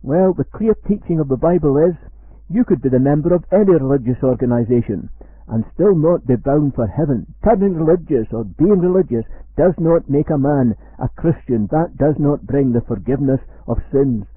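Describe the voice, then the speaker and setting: Scottish preacher on a tinny radio line. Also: male voice, a little high, lively intonation, breathy edge, dry recording. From Scotland. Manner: measured, earnest preaching, slows for quoted verse. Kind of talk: radio sermon. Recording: dry, tinny phone-like radio sound.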